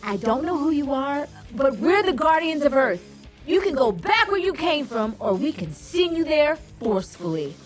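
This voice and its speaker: A woman's voice: high-pitched, sing-song, normal speed, soft background music. A woman acting a brave, wry teenage hero captain in a superhero audio drama. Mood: defiant. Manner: resolute, wry. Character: brave determined teenage heroine, team captain.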